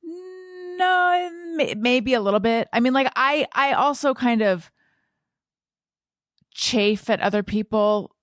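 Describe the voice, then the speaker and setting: A woman's voice: sits high, expressive, slow, no room sound, studio quality. A woman hosting a casual podcast conversation, often hesitating as she thinks aloud. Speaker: podcast host.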